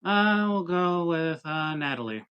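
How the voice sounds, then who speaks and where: A man's voice: on the high side, lively intonation, unhurried, clear, resonant tone, dull audio. A man playing a tabletop role-playing game, casually saying what his character does.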